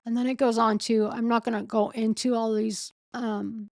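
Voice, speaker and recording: A woman with a sore throat and a failing voice, reading a legal filing aloud in a slow, halting way and often stopping to correct herself. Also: fairly high pitch, little pitch movement, vocal fry, a little mellow, no echo, studio quality. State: losing her voice, sore throat. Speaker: streamer reading a court filing. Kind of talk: casual late-night live stream.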